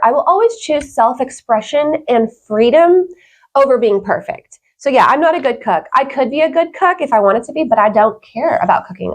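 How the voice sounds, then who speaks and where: Female interview guest, slightly high-pitched, conversational, sincere and emphatic delivery. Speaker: podcast guest. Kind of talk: casual interview chat.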